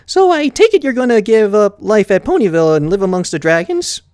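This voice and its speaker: A woman's voice: mid-range voice, sing-song, brisk, a little mellow, clean tone, dry recording, clean studio sound, pitched above the performer's own voice, lightly acted. A woman voicing a cocky young male baby dragon who talks in a smug, teasing way. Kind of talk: fan story read aloud with plushies.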